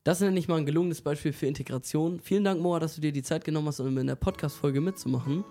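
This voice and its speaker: A man's voice: a little high, fast, mellow, soft background music. A man chatting casually as co-host of an interview podcast.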